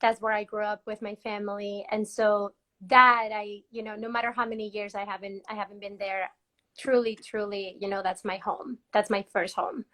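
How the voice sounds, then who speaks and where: A woman's voice: mid-range voice, little pitch movement, moderate pace, pushed and bright. A young woman from Peru, a guest in a casual video-call interview, chatty with lots of fillers and laughs. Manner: chatty, laughs often, many fillers and restarts.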